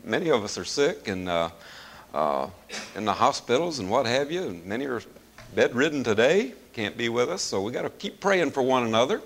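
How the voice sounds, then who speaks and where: A middle-aged man preaching in a folksy, chuckling manner, hoarse as he loses his voice, with plenty of fillers. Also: mid-range voice, sing-song, normal speed, fairly bright tone, slight background noise. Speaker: pastor. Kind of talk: sermon.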